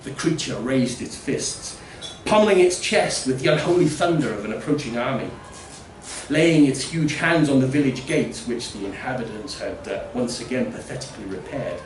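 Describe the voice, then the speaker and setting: A man narrates literary fiction in a mid-pitched voice, placed at some distance in a roomy space. His delivery is theatrical and deliberate, leaning firmly on important words. There is faint noise under the reading. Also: expressive. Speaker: story reader. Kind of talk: dramatic literary story narration.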